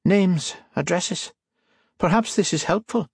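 A reedy, high, mocking villain with an Irish lilt, as a male narrator puts him on. He drawls his threats slowly and with cold politeness. The sound is dry and close. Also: vocal fry, slightly rough, no echo, exaggerated voice. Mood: scheming.

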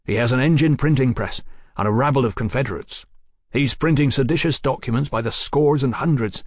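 A man voicing a forceful, resolute gentleman scholar in an audiobook, with formal, measured and emphatic speech. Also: medium pitch, dry recording, clean studio sound, close to an everyday voice. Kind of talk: audiobook character acting.

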